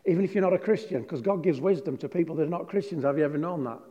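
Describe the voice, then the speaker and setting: A man's voice: fairly high pitch, rapid-fire, dark tone, lo-fi sound. A man preaching a sermon. He tells chatty, joking stories and reads scripture with emphasis. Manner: chatty, jokey storytelling with emphatic scripture reading. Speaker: pastor. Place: large hall with a congregation. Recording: long hall reverb.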